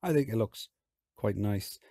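A man with a low, gravelly, husky voice, hoarse and croaky from being unwell. He talks through his game in a relaxed, chatty way, and the sound is dry and close. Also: measured pace, no room sound. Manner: relaxed, chatty, explains as he plays. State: hoarse from illness. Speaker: game video host. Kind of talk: casual game commentary.

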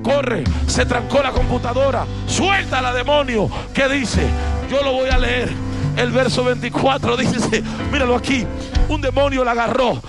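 A man's voice: extremely high pitch, moderate pace. A man in his thirties preaching emphatically to a congregation through a handheld microphone, often repeating his phrases. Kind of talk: charismatic church sermon. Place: large church auditorium, on stage. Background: music playing behind the voice.

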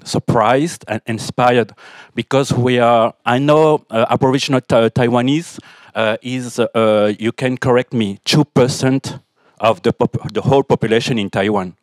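A man with a French accent and a mid-range voice asks a question in halting English as a non-native speaker. He stops often and restarts his sentences. The recording is dry. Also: lowish voice, no room sound. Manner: hesitant, many fillers and restarts. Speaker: audience member asking a question.

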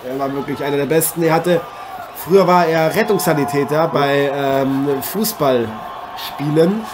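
Chatty male fight commentator played by an actor, somewhat high-pitched, hesitant and jokey, improvised radio play over noticeable noise. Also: measured pace, a crowd in the background, close to an everyday voice. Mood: rambling, genial. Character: chatty, joking male boxing interviewer and commentator. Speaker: sports commentator. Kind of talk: improvised mock sports commentary. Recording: clearly noisy amateur recording.

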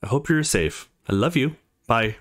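A man's voice: mid-range voice, animated, normal speed, a bit bright, natural voice. A man voicing a worried, caring, motherly woman in a visual novel, speaking earnestly and affectionately.

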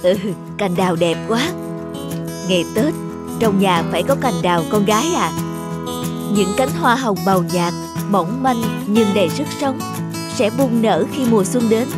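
A woman voicing a tender mother in a cartoon, gently explaining things to her children. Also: mid-range voice, expressive, moderate pace, loud music behind the voice, close to an everyday voice. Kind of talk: animated cartoon dialogue.

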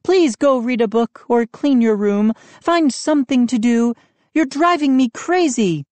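A woman's voice: high-pitched, measured pace, dry recording, pitched above the performer's own voice. A woman voicing an impatient, busy mother who speaks sharply.

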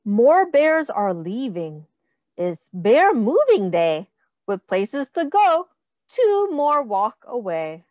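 A woman's voice: on the high side, very animated, slow, a little mellow, no echo, studio quality. A woman reading a children's picture book aloud like a teacher, sing-song and encouraging, with prompts to count along.

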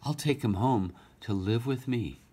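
A man voicing a gentle young princess in a storybook, speaking softly and sweetly. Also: medium pitch, measured pace, close to an everyday voice. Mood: cooing.

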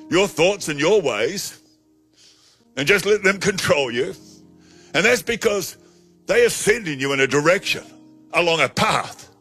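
Husky, bright-toned preacher in his sixties, emphatic, handheld mic, soft music underneath. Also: on the high side. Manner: emphatic, slows to stress key phrases. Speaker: pastor. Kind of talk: sermon. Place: studio stage with colored backdrop.

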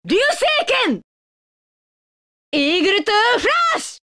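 A woman's voice: extremely high pitch, big pitch swings, a little slow, sharp tone, no echo, clean studio sound, full character voice. A woman voicing a cool, scornful young female warrior in an anime battle game. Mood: battle calls. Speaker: video game voice actor.

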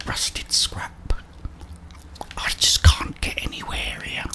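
A middle-aged man whispers ASMR gameplay commentary in a breathy voice, muttering and mildly grumbling to himself. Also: slow pace. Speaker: gamer, ASMR video host. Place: indoors, small room at home.

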